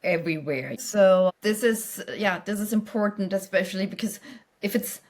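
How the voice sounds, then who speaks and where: A woman's voice: medium pitch, moderate pace, darkish tone. A woman who speaks English as a non-native language, answering conversationally as a company executive in a podcast interview. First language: not English.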